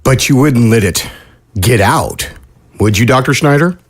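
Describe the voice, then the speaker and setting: An actor playing a sly intruder who mocks two scientists in a slow, taunting drawl, in a radio drama. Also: male voice, mid-range voice, animated, vocal fry, a little hiss or hum. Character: sly, mocking male intruder.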